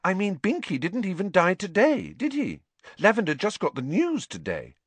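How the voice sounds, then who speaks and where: A man's voice: high-pitched, wildly swooping pitch, normal speed, breathy edge, no room sound, pitched above the performer's own voice, exaggerated voice. A man voicing a bossy, logical teenage schoolgirl in an audiobook, earnest and insistent. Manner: hesitant, pedantic, insistent.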